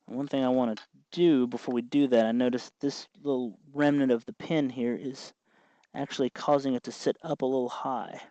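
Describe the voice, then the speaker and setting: Male tutorial host, medium pitch, informal and hesitant, working things through aloud, dry recording. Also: fairly even intonation, moderate pace, dark tone, no room sound, muffled recording. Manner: hesitant, meandering, thinking aloud while working. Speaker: host of a DIY electronics tutorial. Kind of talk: informal hands-on tutorial commentary.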